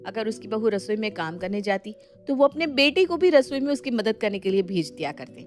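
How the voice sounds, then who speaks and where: A woman's voice: mid-range voice, sing-song, brisk, faint music behind. A woman narrator delivering scripted storytelling for a family moral tale.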